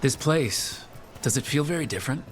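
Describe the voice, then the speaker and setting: An actor playing a calm, supportive male adventurer in a video game, with a gentle, steady, sincere delivery. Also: mid-range voice, moderate pace, faint music behind, close to an everyday voice. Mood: curious, friendly. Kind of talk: video game dramatic voice acting.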